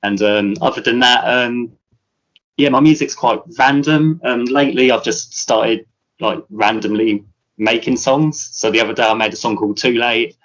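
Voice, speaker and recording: A man's voice: mid-range voice, normal speed, fairly bright tone, lo-fi sound. A British man, a musician guest, chatting casually in an interview, rambling with many fillers and restarts.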